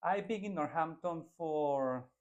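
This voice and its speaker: A man's voice: fairly high pitch, a little slow, lo-fi sound. A man in his twenties from Venezuela, a native Spanish speaker with a Venezuelan accent, answering interview questions earnestly with frequent restarts as a political candidate.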